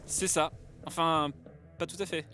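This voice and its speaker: An actor playing an excitable, teasing young man recounting a past adventure to a friend. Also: fairly high pitch, measured pace, soft background music. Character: eager, bossy young male narrator companion.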